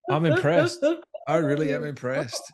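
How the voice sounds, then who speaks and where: A man's voice: on the high side, wildly swooping pitch, normal speed, slight background noise. An Australian man hosting a casual interview in an enthusiastic, informal and teasing way. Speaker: podcast host, interviewer. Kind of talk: casual chat.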